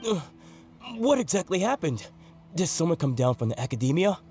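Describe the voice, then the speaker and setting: An upbeat, encouraging bazaar local. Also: male voice, a little high, very animated, a little fast, mellow, faint music behind. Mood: supportive.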